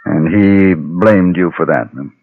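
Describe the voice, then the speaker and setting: An actor playing a calm, probing western gunman who mediates, measured and terse, in vintage radio drama. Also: low voice, creaky, heavily acted. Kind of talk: Golden Age radio western acting with first-person narration. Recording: dull vintage radio with background din.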